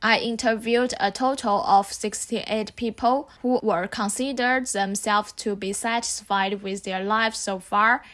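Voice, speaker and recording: Calm young woman narrating reflectively. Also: mid-range voice. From southeast China. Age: 20s. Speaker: narrator of a student film. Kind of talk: scripted documentary narration.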